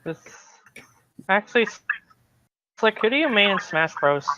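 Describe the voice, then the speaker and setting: A man's voice: high voice, sing-song, moderate pace, noisy background. A young man chatting casually about games with friends over a dull lo-fi voice call. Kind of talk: casual gamer chat. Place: online voice call.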